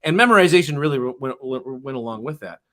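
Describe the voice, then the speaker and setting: Earnest man explaining education, halting restarts. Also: medium pitch, lively intonation, a bit bright. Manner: earnest, many restarts and "you know". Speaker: interview guest, public-school teacher. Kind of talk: casual interview talk.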